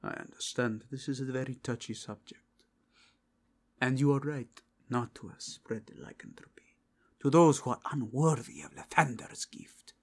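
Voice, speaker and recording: A man's voice: mid-range voice, moderate pace, close to an everyday voice. A man voicing a zealous, courteous inquisitor with formal, grave, archaic phrasing in a fantasy ASMR roleplay. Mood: fervent, solemn. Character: zealous male inquisitor, solemn, courteous, devoutly faithful.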